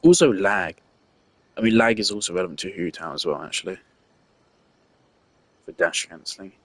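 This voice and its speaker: A man's voice: low voice, moderate pace, a bit bright, no room sound. A man giving casual, rambling gameplay commentary, blunt and swearing freely. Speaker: gaming streamer. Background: faint game music.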